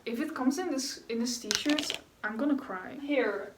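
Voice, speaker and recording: Chatty young Dutch woman, slightly high. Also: a little slow, reverberant, distant mic, background noise. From Netherlands. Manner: chatty and rambling, with fillers and self-corrections. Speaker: vlogger. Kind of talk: casual chat while painting.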